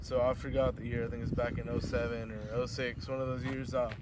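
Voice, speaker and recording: A young man, a sports journalist, answering interview questions casually, with many fillers and restarts and frequent throat clearing. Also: medium pitch, monotone, fairly quick. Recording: outdoor street noise, distant mic. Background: traffic and passing vehicles.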